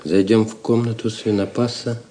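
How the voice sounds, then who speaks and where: A man's voice: on the low side, level tone, normal speed, dark tone, close to an everyday voice. A man playing a resolute, secretive young pilgrim in an audio drama, earnest and commanding in manner. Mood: urgent, warning.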